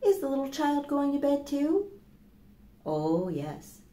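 Slow, mellow middle-aged woman reading to children. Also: high voice, big pitch swings. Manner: slow, deliberate, addressing young children with questions. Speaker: library storytime host. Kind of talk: children's storytime, reading picture books aloud. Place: small plain indoor room. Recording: echoey room with faint background noise.

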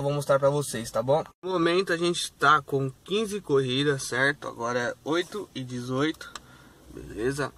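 A man's voice: medium pitch, fairly bright tone. A young man, vlogging from his car as a rideshare driver and checking in with his viewers in an upbeat way. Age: young adult. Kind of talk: casual vlog to camera. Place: inside a car, day and night. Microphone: phone camera on dashboard. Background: faint car and road noise.

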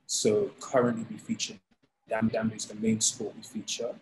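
Man in his thirties, lowish voice, easygoing storyteller, faint background hiss; video call guest. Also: normal speed, low-quality recording. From England. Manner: relaxed, animated storytelling with fillers. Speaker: founder of a fighting league, guest. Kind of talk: casual interview. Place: indoors, plain room. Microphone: studio mic on arm, video call.

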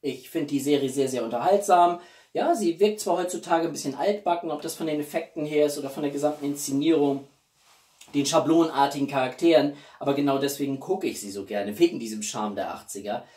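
A man's voice: a little high, fast, darkish tone. A man, chatting casually and expressively to camera as a video host at home. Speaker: YouTube host. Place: small living room at home, indoors. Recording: roomy echo, distant microphone.

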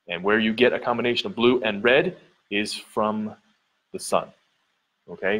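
Man in his thirties, gym owner, somewhat low voice, earnest and repetitive, filler-heavy, handheld camera mic in a big indoor gym. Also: a bit bright, poor audio. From Central Ohio, US. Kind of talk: casual walkthrough vlog. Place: large dim indoor gym studio. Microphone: handheld camera mic at arm's length.

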